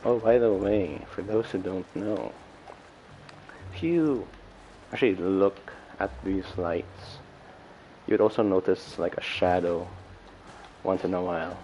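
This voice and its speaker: A man's voice: deep voice, slow, long pauses, mellow, muffled recording, slight background noise. A man livestreaming a video game with casual, offhand commentary.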